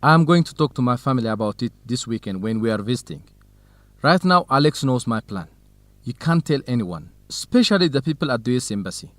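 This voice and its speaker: A man's voice: medium pitch, expressive, fast talker, fairly bright tone, dry recording, natural voice. An actor playing a dutiful, determined young man from Ethiopia in a radio drama for English learners. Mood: confiding. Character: young Ethiopian farm son, dutiful, determined, quietly lovestruck.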